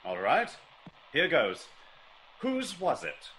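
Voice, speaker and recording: An actor playing a keen, commanding detective, probing and theatrical, in a classic radio drama. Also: male voice, on the high side, wildly swooping pitch, slow, sharp tone, exaggerated voice. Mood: inquisitive. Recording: noisy old radio recording.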